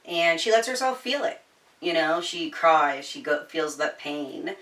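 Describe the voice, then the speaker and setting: A woman with a bright, lowish voice reviews a book in a casual, chatty way. She is slangy, swears freely and tells the story with animation. The room is echoey and she sits a little off the mic. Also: a little slow, far from the mic. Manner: informal and slangy with frequent swearing; animated storyteller, voicing quoted dialogue.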